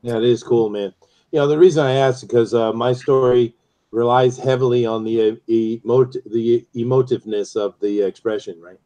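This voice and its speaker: Male guest writer, middle pitch, relaxed, with short conversational replies. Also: normal speed, muffled recording. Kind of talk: casual chat on a live stream.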